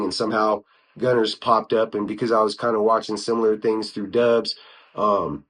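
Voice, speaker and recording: American male podcaster, fairly low register, informal and conversational, slang-heavy solo talk. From United States (Wyoming). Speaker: podcast host. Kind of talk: casual solo podcast talk, reviewing YouTube channels.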